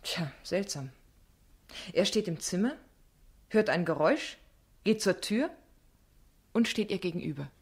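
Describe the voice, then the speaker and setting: An actress playing a stubborn young defence barrister, insistent and businesslike, in a radio drama. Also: female voice, fairly low pitch, lively intonation, unhurried, long pauses, darkish tone, slightly rough, heavily acted. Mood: intent.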